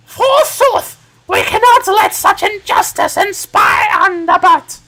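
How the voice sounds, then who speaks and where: A righteous gremlin of a lady knight, put on by a man, very high, shrill and piercingly bright. She declaims in pompous archaic speech like a zealous game hero, with music behind. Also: lively intonation, slow pace, gravelly, heavily acted. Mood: outraged.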